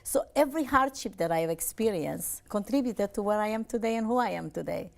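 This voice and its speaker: A woman's voice: mid-range voice, expressive, fairly quick, gravelly. A woman from Romania, a life coach giving an earnest, upbeat television interview and often repeating her phrases.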